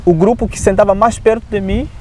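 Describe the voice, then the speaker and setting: A man from Africa with an African accent, a non-native Portuguese speaker, telling his testimony as an Adventist preacher in an animated way, often adding "né". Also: high voice, a little fast, slight background noise. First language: not Portuguese. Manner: animated storyteller who often adds "né". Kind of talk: personal testimony in conversation.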